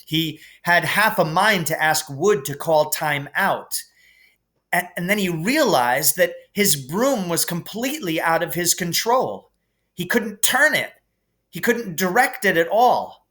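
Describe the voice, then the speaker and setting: A man reading a children's novel aloud as expressive narration. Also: high-pitched, unhurried. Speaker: reader.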